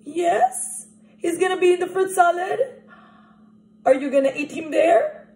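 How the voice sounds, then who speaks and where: A young woman teacher leading an interactive picture-book read-aloud, animated and asking children questions directly. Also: very high voice, measured pace. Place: small indoor room at home. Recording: echoey room recording.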